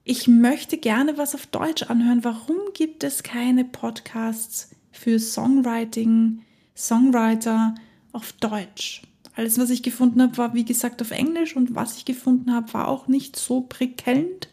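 A woman's voice: fairly high pitch, steady tone, a little slow, mellow, slightly rough. A woman hosting her own podcast, talking alone in a chatty, enthusiastic way and laughing often. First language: German. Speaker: podcast host. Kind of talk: casual solo podcast monologue.

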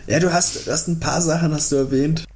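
A man's voice: fairly high pitch, fairly even intonation, fairly quick, a little mellow, slight background noise. A man chatting casually as a podcast co-host. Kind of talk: casual chat.

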